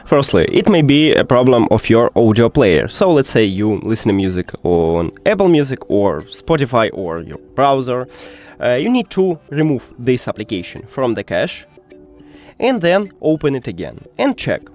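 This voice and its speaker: Man with lively, swooping pitch. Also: normal speed, soft background music. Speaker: tech tutorial host. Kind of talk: step-by-step instructional narration.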